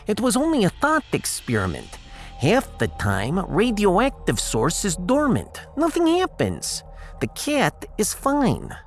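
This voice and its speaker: A man's voice: high-pitched, huge pitch swings, slow, soft background music, full character voice. Man voicing a haughty Russian woman scientist, wildly swooping. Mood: lecturing. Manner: lecturing, dropping articles, teasing. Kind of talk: science fiction audiodrama acting.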